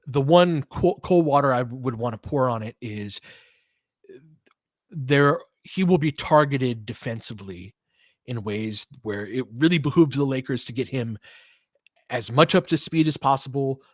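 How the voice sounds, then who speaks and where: Relaxed young man calling a game live. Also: mid-range voice, measured pace, dry recording. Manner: relaxed, conversational, answers chat by name. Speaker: host of a live game watch-along stream. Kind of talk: casual live play-by-play and chat with viewers.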